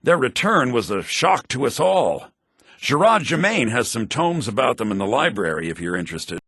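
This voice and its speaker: A sly fantasy game townsman, full of himself and sardonic. His voice is sharp and gravelly, swinging widely in pitch as he delivers each line theatrically. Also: very animated, moderate pace, dry recording, studio quality, heavily acted. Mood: somber, matter-of-fact. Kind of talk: scripted fantasy video game dialogue.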